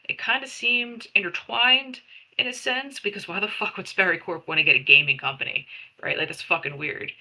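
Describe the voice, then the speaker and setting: A woman playing a blunt, exasperated female investigator in a tabletop game, halting and sweary. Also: low voice, sharp tone, heavily acted, rough recording.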